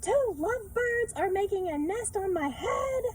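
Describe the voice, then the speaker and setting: A woman voicing an excitable, worrying elephant from a picture book, exclaiming with drawn-out, emphatic words. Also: very high-pitched, a little hiss or hum, pitched above the performer's own voice. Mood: dismayed disbelief.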